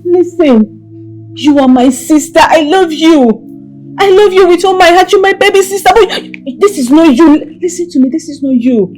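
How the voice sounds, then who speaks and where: An actress playing a fierce but loving older sister who scolds and pleads emotionally. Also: female voice, very high voice, animated, moderate pace, darkish tone, faint music behind, rough recording. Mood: tearful pleading.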